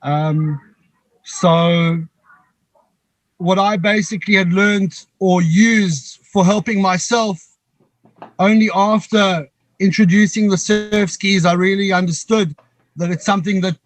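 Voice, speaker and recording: Man, high-pitched, halting and reflective, dry close sound. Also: steady tone, no echo, rough recording. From South Africa. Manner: halting and reflective, pausing often to find words. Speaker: guest, co-founder of a veterans paddling program. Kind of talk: interview answer.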